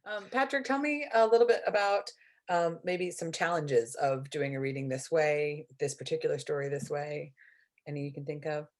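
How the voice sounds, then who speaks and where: A woman with a low-pitched voice puts questions to guests. Her style is informal and conversational, as in a casual interview. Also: fairly even intonation, normal speed.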